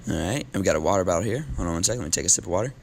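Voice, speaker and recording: A very low-voiced man with a gravelly edge, teaching a class while his voice is going. He talks loosely and casually, filling pauses with ums. A faint hiss of noise sits behind him. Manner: relaxed, casual with filler ums. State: losing his voice. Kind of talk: recorded classroom lesson.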